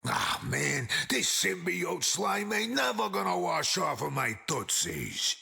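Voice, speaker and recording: A gruff rock-skinned superhero bruiser from a video game, deeply gravelly and forceful. He talks in streetwise New slang, swinging up and down as he wisecracks, with a soft heart underneath. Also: sing-song, slow pace, soft background music, exaggerated voice. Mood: wisecracking. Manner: streetwise, slangy old-fashioned tough-guy talk. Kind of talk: video game hero banter.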